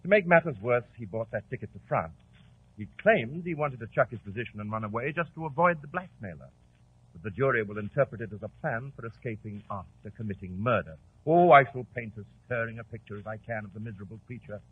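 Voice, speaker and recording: An actor in a 1940s radio drama playing a pompous defence barrister with a rhetorical, blustering delivery. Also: male voice, medium pitch, expressive, fast, slightly rough. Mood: gloomy, rhetorical. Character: pompous male defence barrister, secretly guilty, indignant. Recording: muffled, tinny old radio recording.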